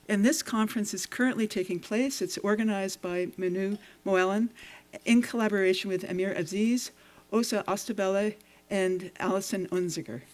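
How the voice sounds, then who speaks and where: Host woman, measured formal intro read from notes, cinema theater at a screening event. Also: mid-range voice.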